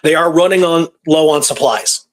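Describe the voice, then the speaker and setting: A man hosting a talk show, retelling a true story in a casual, animated way with repeated phrases and pauses for drama. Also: on the high side. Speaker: podcast host telling a true historical story.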